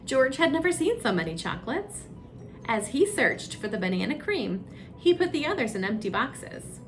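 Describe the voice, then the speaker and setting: Female children's story time reader: fairly high pitch, highly melodic expressive delivery, music underneath. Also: normal speed. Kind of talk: read-aloud children's storybook narration.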